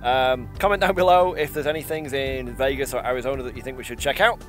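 A man's voice: mid-range voice, steady tone, fast talker. Man in his 30s, loose rambling travel-vlog delivery to camera, outdoors in the wind, music underneath. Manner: chatty, rambling, with little laughs. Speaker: vlogger. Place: outdoors in a windy open field.

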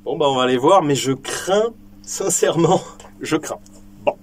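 A young man hosting a casual vlog from a small indoor shooting booth, joking, swearing freely and filling pauses with lots of ums. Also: on the high side, slight background noise. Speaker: firearms video host.

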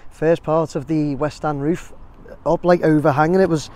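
A man's voice: fairly high pitch, steady tone, moderate pace, a little mellow. Chatty man narrating outdoors. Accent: English. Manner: rambling, chatty, addresses viewers as guys. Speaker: drone videographer. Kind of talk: casual vlog commentary. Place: outdoors near a construction site.

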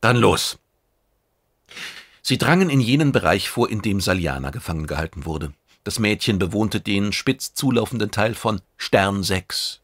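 A man narrates science fiction in a fairly deep voice. His delivery is measured and deliberate, with dramatic emphasis. The sound is dry and free of room echo. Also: lively intonation, a bit bright, husky. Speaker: audiobook narrator.

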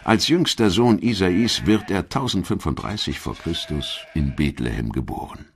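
A man with an extremely low voice narrates a history audiobook. His delivery is measured and every word is clearly articulated, in the style of a scripted documentary. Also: lively intonation, slight background noise.

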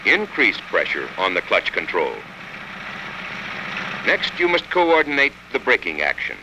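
An American man reading scripted instructional narration for a training film in a measured, instructive manner. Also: medium pitch, sharp tone, low-quality recording. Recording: 1960s film soundtrack, faint noise.

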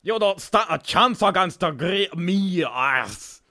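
A man's voice: high voice, expressive, takes it slowly, bright, forceful tone, no echo, studio quality, voice pushed up, full character voice. A man voicing a cocky warrior who brags and slings insults in an improvised comedic game dub. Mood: cocky, taunting. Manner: taunting, bragging.

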